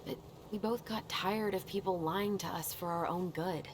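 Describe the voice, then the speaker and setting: A woman's voice: fairly low pitch, normal speed, background noise, close to an everyday voice. A woman playing an anxious, weary young American woman in a video game, speaking in a hesitant, subdued way. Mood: polite, guarded. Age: young adult. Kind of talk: naturalistic video game dialogue.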